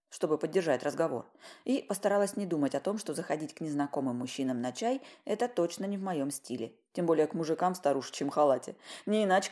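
Low-voiced woman narrating a story, wryly. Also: mellow. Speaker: audiobook narrator. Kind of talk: first-person story narration, expressive reading.

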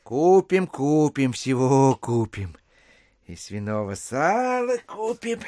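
A middle-aged gentleman schemer in an audio drama, ingratiating and smoothly polite. He talks slowly with a very lively melody, recorded close and dry. His coaxing is dotted with small grunts and hums. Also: mid-range voice, no echo. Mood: placating. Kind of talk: audio play acting.

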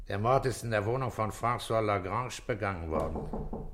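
An actor playing a persistent police detective in a German radio drama, probing in a terse, matter-of-fact way. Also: male voice, on the low side, moderate pace, a bit bright, lightly acted. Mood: grave, factual. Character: persistent, composed male police detective questioning a suspect.

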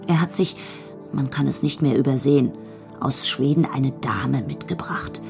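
An actress playing an observant woman of 1910s bohemia, reading her letters in a measured, dryly amused way for a radio drama. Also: rumbling low, vocal fry, music in the background. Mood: confiding, concerned.

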